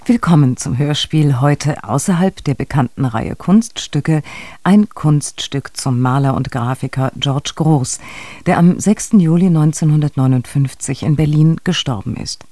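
Soft, mellow male radio announcer. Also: a little high, a little slow, no room sound. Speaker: radio announcer introducing a radio play.

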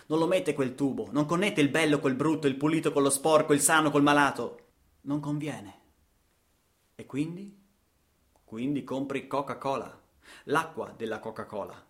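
A man with an Italian accent performing a satirical radio theatre monologue, ironic and emphatic, posing rhetorical questions. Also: medium pitch.

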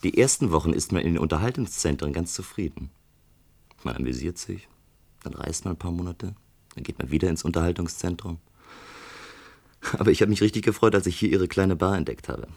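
A disillusioned man brooding over his drink in a radio drama. His voice is very low and rough with huskiness. He muses in a sardonic, ruminative way. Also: expressive, a little fast, long pauses, darkish tone, full character voice. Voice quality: deep, husky. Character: brooding, disillusioned unemployed electrician drinking at a bar. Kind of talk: German science-fiction radio play acting.

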